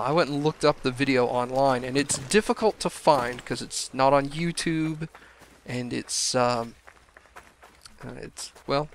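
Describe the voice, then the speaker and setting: A young man commenting casually on a video game he is playing, his voice a little hoarse from a cold, rambling with self-deprecating asides. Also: medium pitch, fairly bright tone, slight background noise. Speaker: let's play gamer.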